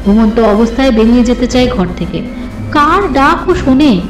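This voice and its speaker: A woman reading a suspense story as a dramatic, scripted literary narration in an expressive, deliberate way. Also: fairly high pitch. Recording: slightly roomy, with music behind. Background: soft background music.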